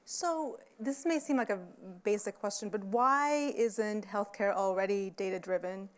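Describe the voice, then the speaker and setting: Brief: woman moderator, mid pitch, brisk and friendly panel host. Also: clean tone. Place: conference stage.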